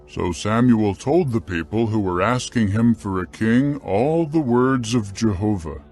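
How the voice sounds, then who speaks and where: A man narrating scripture from a script in a solemn, measured, carefully phrased dramatic style. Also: on the low side, animated, darkish tone.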